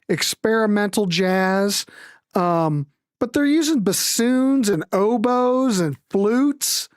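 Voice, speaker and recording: A middle-aged or older woman hosting a music show, chatting casually and commenting in an animated, rambling, informal way. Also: mid-range voice, no echo, clean studio sound.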